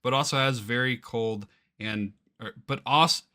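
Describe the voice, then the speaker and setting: Excitable man with a bright, forceful voice. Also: mid-range voice, unhurried. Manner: excitable, easily incredulous, exclaiming. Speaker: YouTuber reacting to a game's crowdfunding page. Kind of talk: casual reaction commentary, reading aloud from a web page.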